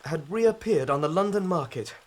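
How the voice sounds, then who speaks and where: An actor playing a distraught young man under suspicion in a radio drama, halting, anxious and pleading. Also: fairly high pitch, animated, lightly acted. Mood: nervous.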